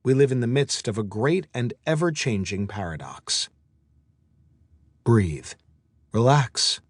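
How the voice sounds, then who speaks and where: A man narrates a mindfulness audiobook in a middle-pitched voice that moves with expressive rises and falls. His phrasing is measured, with deliberate pauses between thoughts. The recording is close and dry, with no room sound. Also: dry recording. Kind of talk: scripted audiobook narration of a meditation and self-help book.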